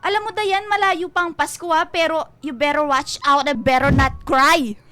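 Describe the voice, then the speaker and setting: An actress playing a blunt, bossy and protective best friend in a radio drama. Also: female voice, high-pitched, steady tone, normal speed, pushed and bright. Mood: teasing, warning. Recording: music behind the dialogue.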